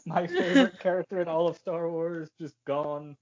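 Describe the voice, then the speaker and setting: A man's voice: a little high, expressive, moderate pace, darkish tone, dull audio. A man in casual podcast chat, co-hosting with sweary, mock-aggrieved rants. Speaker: podcast co-host.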